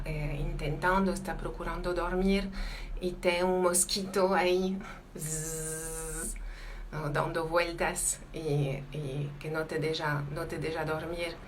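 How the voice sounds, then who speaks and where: A woman from France with a French accent, a native French speaker talking live to viewers as a teacher, halting often and slipping Portuguese words into her Spanish. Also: lowish voice, a little hiss or hum. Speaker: emotional intelligence teacher hosting a live stream. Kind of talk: live-stream talk to viewers.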